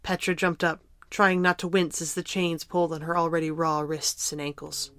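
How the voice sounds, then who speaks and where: Low-pitched woman narrating a story. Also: level tone, normal speed, a bit bright.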